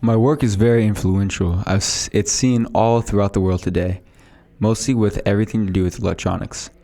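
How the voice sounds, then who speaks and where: Man reading as a boastful 1800s male inventor, very low voice, scripted delivery. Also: steady tone, fairly quick, vocal fry, mellow. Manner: reading a prepared script aloud. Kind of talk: school podcast role-play.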